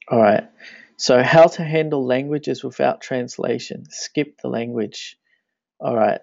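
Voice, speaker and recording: A man, a native Danish speaker, walks through a website plugin in a relaxed screencast tutorial, thinking aloud as he clicks. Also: mid-range voice, vocal fry, no echo. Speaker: web tutorial instructor. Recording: dry, dull lo-fi screen recording.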